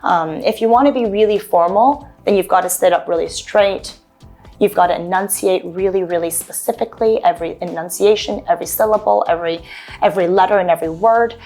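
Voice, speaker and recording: A woman's voice: mid-range voice, darkish tone. A woman from Toronto, an actor chatting loosely as a guest, giving advice with many ums and restarts. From Toronto, Canada. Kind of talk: casual interview advice.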